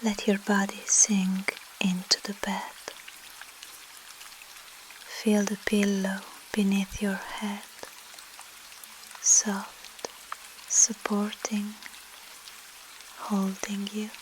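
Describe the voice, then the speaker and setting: Russian accent woman doing asmr sleep hypnosis, soft breathy whisper, super slow, rain in the background. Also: mid-range voice, noisy background. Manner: slow, soothing, long pauses between short phrases. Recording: steady rain sound behind voice.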